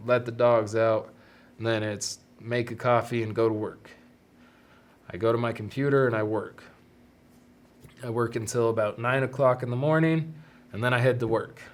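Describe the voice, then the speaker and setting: Stuffy-nosed young man hosting a podcast. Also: mid-range voice. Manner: rambling and self-deprecating, laughs easily. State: stuffy, congested nose. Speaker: podcast host. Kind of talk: casual solo podcast talk.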